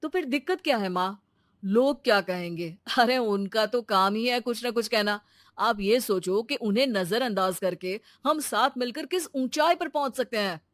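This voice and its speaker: A woman's voice: on the high side, animated, normal speed, no room sound, clean studio sound, close to an everyday voice. A woman voicing a supportive, reasonable young man in a moral story, earnest and reassuring. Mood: concerned. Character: supportive, reasonable young adult son and husband. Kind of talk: animated Hindi moral-story voice acting.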